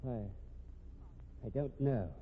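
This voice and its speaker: Guilty husband, middle pitch, husky, indignant bluster, 1950s radio drama delivery, narrow tinny recording. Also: male voice, slow. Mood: flustered. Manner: blustering, defensive, stammers when pressed. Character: smooth-lying, indignant husband turned cornered killer. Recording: thin, band-limited 1950s radio recording.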